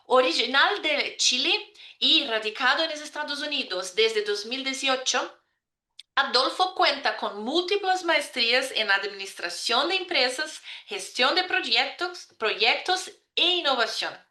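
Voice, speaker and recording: Bright-voiced woman hosting, echoey room. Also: sits high, lively intonation, a little slow. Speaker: podcast host. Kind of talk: scripted intro, then interviewing.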